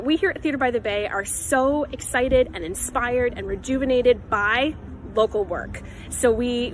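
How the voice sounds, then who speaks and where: A woman's voice: fairly high pitch, fairly bright tone, a little hiss or hum, poor audio. A woman giving announcements to an online audience as a theatre coordinator.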